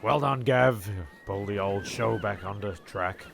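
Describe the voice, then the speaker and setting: A man's voice: fairly low pitch, moderate pace, gravelly, slight background noise. A man playing a dry, teasing castaway in a comedy audio drama, with a wry delivery.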